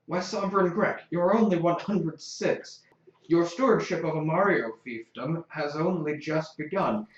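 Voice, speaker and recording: A man's voice: a little high, roomy sound, dull audio. An actor playing a hesitant, conciliatory chairman of a noble council in an audio drama, with polite, formal speech. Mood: placating.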